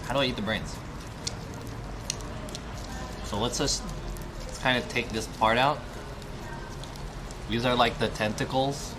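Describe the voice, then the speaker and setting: A man's voice: medium pitch, fairly even intonation, normal speed, background noise. A man in his thirties chatting casually to viewers on a live stream while eating alone in a restaurant, rambling, swearing and often asking his audience questions. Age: 30s. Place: restaurant, indoors. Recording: music playing behind the voice.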